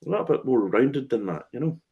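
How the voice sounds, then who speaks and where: A man hosting a casual chat about whiskey tastings, relaxed, laughing often and swearing casually as he restarts his sentences. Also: mid-range voice, fast talker, narrow, tinny audio. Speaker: host and whiskey tour guide.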